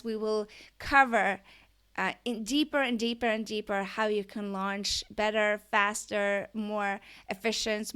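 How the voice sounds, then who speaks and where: A woman's voice: medium pitch, fairly bright tone, clean tone. A woman from Norway with a Norwegian accent, talking as an online business coach in an eager, chatty podcast monologue that often asks the listener questions.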